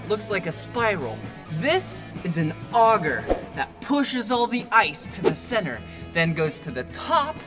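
Young male kids' host, bouncy lively pitch, slow deliberate delivery, music under. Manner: exaggerated exclamations and giggles. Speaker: children's show host. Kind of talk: animated educational narration for toddlers. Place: indoor ice rink.

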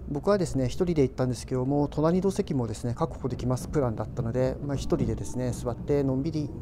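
A man giving unhurried, casual travel vlog narration, explaining things in drawn-out phrases. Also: medium pitch. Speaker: railway travel vlogger. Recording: clear train and station noise behind.

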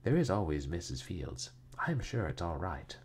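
A man voicing a courteous, curious gentleman in a storybook, politely emphatic and reassuring. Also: lowish voice, very animated, normal speed, breathy edge, heavily acted.

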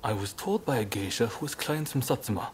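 An actor playing a serious, pragmatic samurai clan leader in a video game cutscene, with a measured, deliberate delivery. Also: male voice, mid-range voice, slight background noise, natural voice. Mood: explanatory.

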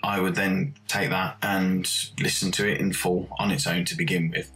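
A man's voice: low voice, little pitch movement, moderate pace, a bit bright, poor audio. A man, a composer, talking casually in an interview about his own work. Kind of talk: casual behind-the-scenes reminiscence.

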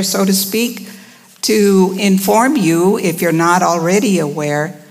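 An older woman in her sixties or beyond giving a measured, earnest public address at a podium microphone. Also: mid-range voice, vocal fry. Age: 60s or older. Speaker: former school employee speaking at public comment. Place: large indoor board meeting room. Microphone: gooseneck podium microphone. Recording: reverberant meeting hall.

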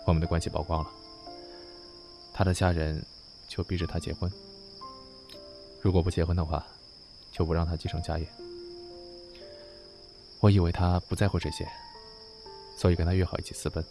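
A man playing a gentle, wistful jilted lover in an audio drama, speaking in a reflective way. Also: deep voice, little pitch movement, normal speed, creaky, pauses often, over music.

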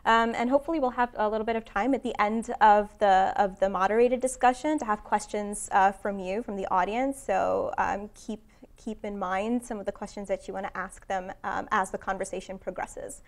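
A woman's voice: mid-range voice, fairly even intonation, moderate pace. A woman moderator introducing a panel in a semi-formal, spontaneous way on stage. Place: seated on stage in a conference room.

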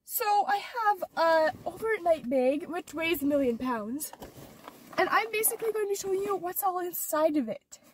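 A woman's voice: very high-pitched, expressive, moderate pace, recorded from a distance, slight background noise, poor audio. A teenage girl chatting casually in her car, rambling with lots of fillers, her nose stuffed up from allergies. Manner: rambling, many "like" and "or whatever" fillers. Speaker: vlogger. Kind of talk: casual vlog chat.

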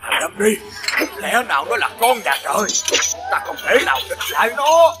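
A man voicing a brutal, boastful, commanding enemy general in an animated fairy tale. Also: high voice, moderate pace, over music. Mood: panicked, furious. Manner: blustering, commanding.

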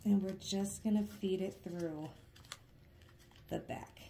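Woman, hoarse froggy voice, gravelly, chatty diy vlog, self-deprecating, faint hiss. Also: mid-range voice, steady tone, takes it slowly, dark tone. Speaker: craft vlogger. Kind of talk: casual DIY tutorial narration. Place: living room, working at a coffee table.

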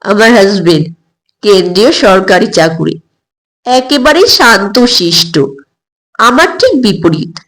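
A woman's voice: medium pitch, expressive, measured pace, long pauses, fairly bright tone, low-quality recording. A woman narrating an audio story expressively in the first person.

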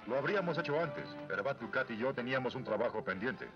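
A man voicing a calm, righteous young warrior hero in a Latin American Spanish cartoon dub, firm and earnest. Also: medium pitch, fast, close to an everyday voice. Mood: resolute. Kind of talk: 1980s cartoon hero dubbing. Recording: dull old cartoon soundtrack with music.

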